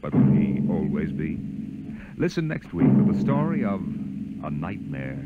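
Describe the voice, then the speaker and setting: A man's voice: extremely low pitch, big pitch swings, a little slow, very noisy. A man narrating as the portentous host of a 1950s radio drama, in scripted dramatic style. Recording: muffled tinny old radio, loud music.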